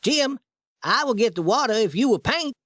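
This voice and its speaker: A man voicing a clever, scheming young boy in a storybook reading, with a high, coaxing boyish voice. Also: lively intonation, normal speed, a bit bright, no room sound, heavily acted.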